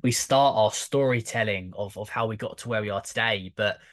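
A man's voice: lowish voice, moderate pace, fairly bright tone. A man hosting a podcast interview, speaking conversationally with enthusiastic, wordy questions. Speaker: podcast host.

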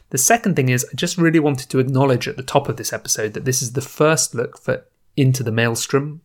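A man talks to his listeners in a relaxed, chatty way, thinking aloud as he goes and trailing off now and then. He records alone at home on a podcast microphone. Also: mid-range voice. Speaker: podcast host. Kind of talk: casual solo podcast intro. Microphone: new home podcast microphone setup.

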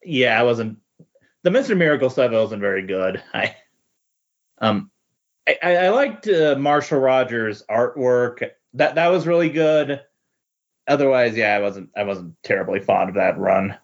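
A man speaking as a podcast guest in a casual comic book discussion, halting and often repeating words. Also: mid-range voice, lively intonation. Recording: dull lo-fi voice recording.

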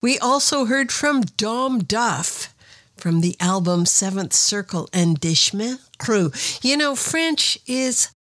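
A woman's voice: on the high side, lively intonation, takes it slowly, a bit bright, no echo, studio quality. A woman hosting a music show, chatty and light-hearted, introducing tracks with self-deprecating asides. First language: English. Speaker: radio show host. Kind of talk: casual show presenting.

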